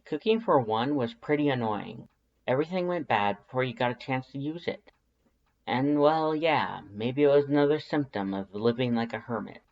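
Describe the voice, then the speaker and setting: A narrator reading fan fiction aloud in an expressive, scripted storytelling style. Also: medium pitch, highs cut off.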